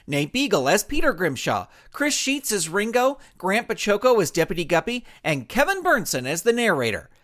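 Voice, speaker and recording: A man's voice: sits high, fairly bright tone. A man with an American accent reading a scripted, upbeat announcement for a children's show.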